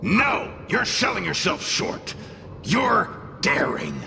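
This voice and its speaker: A man's voice: high-pitched, big pitch swings, takes it slowly, creaky, noisy background, exaggerated voice. An actor playing a brash, mischievous male fox-tail spirit in a video game, boastful and protective, with a theatrical, sardonic, mocking manner. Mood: sardonic, proud. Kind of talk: animated video game voice acting. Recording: voice over loud game music. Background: game music.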